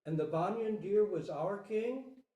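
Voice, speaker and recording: Guy doing a little fawn for a storybook, curious n innocent, asking stuff wide-eyed, high-ish, bit roomy. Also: animated, unhurried, warm tone. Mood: curious, confused. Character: young fawn, curious, innocent, easily troubled. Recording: roomy, distant microphone.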